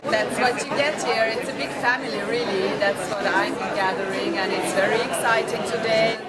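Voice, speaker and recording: A woman's voice: a little high, fairly even intonation, moderate pace. A woman at a conference speaking to camera in an upbeat, casual way. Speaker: conference participant. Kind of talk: enthusiastic casual remarks to camera. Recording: crowd in a large hall.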